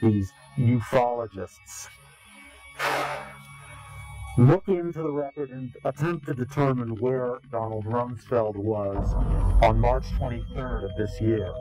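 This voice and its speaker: A man giving an interview in a slow, grave, deliberate way, pausing often between phrases. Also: mid-range voice, warm tone, soft background music, rough recording. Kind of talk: ominous recorded interview.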